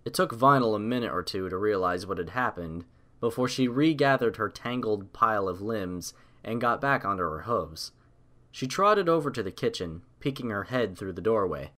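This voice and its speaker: A man's voice: fairly low pitch, expressive, moderate pace, a bit bright. A man reading scripted story narration as the narrator of an audio tale.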